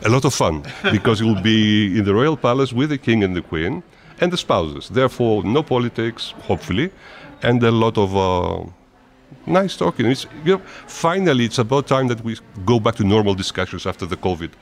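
A man answering interview questions at length in a measured, formal way, often restarting his phrases. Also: fairly low pitch, lively intonation. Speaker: interviewed diplomat.

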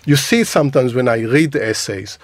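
A middle-aged man, a native Hebrew speaker and university lecturer, talking in a radio discussion with many halting restarts. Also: mid-range voice, expressive, no room sound. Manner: hesitant, many restarts and repeats.

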